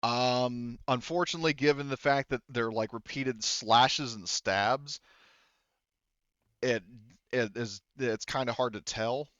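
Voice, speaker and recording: Smooth-voiced man running a game, slightly dull audio. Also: medium pitch, slow, fairly bright tone, no echo. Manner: informal, frequent swearing. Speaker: game master. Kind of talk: casual improvised tabletop game narration.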